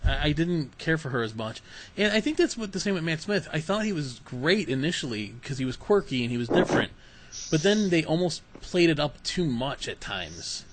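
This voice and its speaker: A man's voice: fairly high pitch, very animated, moderate pace, a little hiss or hum, poor audio. A man hosting a casual podcast, chatting eagerly and questioning his guests with frequent restarts. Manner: enthusiastic, asks guests questions, stammers restarts. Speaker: podcast host.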